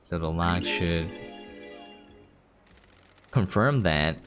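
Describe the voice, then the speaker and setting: A man's voice: sits low, lively intonation, extremely slow, a bit bright. A man giving casual gameplay commentary, thinking aloud as he goes. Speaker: game streamer. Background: game music.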